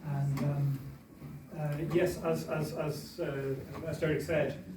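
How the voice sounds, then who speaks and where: A man's voice: on the high side, little pitch movement, takes it slowly, heavy background noise. A man giving a guest talk as chair of an organisation, starting hesitantly with repeated words. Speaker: guest speaker, chair of a school. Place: large indoor hall. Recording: echoey hall from far off.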